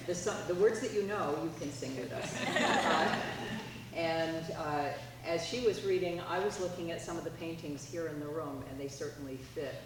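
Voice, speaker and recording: Low-voiced lady musician chatting to the crowd between tunes, kinda halting, far from the mic, noisy echoey hall. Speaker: musician introducing her group's tunes. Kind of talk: informal stage introduction. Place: large indoor library art gallery. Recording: distant, noisy, very echoey gallery pickup.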